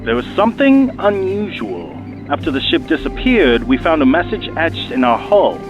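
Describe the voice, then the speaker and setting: A man's voice: a little high, sing-song, normal speed. Man as grim starship captain over muffled radio. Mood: grim, businesslike. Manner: clipped, formal military report. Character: grim, stoic male starship captain reporting in. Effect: radio transmission. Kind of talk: sci-fi audio drama acting. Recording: dull radio transmission, music behind.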